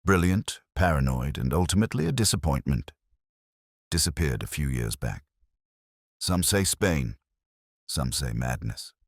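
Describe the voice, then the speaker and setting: A man's voice: a bass voice, lively intonation, measured pace, pauses often, dry recording, studio quality, full character voice. A man voicing a cold, polished Oxford academic with a bitter edge, speaking in clipped, disdainful phrases in an audiobook detective story.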